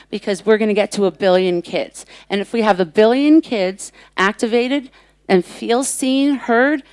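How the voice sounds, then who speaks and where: Style: rich. A woman of middle pitch talks with earnest emphasis as a panelist. Her voice is recorded dry and close, with no room sound. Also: clean tone, no echo. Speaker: panelist, children's workshop leader. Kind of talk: panel talk. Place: conference stage.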